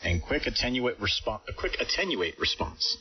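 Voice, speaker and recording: A man's voice: medium pitch, very animated, normal speed, breathy edge. A man leading a casual, technical amateur radio chat, heard over a radio transmission. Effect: ham radio transmission. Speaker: amateur radio operator leading an on-air contact. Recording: dull hissy shortwave radio audio, distant.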